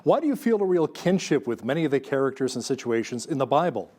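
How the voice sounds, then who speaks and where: A man with a Canadian accent interviewing a guest, asking clear, measured questions. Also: medium pitch, sing-song, darkish tone. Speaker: news interviewer.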